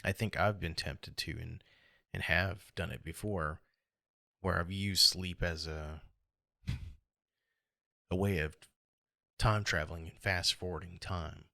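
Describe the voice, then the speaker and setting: A middle-aged American man with a hoarse, gravelly voice, talking alone in a reflective, halting and rambling way as a casual podcast host. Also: low-pitched, fairly even intonation, bright, forceful tone, dry recording, clean studio sound. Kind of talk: casual solo podcast monologue.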